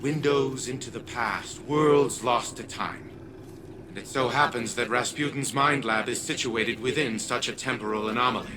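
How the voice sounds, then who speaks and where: A man's voice: medium pitch, normal speed, background noise, lightly acted. A man voicing an arrogant, imperious older scientist patriarch in a video game, pompous and condescending. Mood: smug, businesslike. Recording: background music under the voice.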